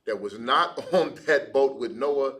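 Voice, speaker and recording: A man's voice: mid-range voice, measured pace, fairly bright tone, a little hiss or hum. A man teaching a Bible class in a casual, conversational way, using fillers as he explains. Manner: casual, explaining with fillers like "you know what I mean".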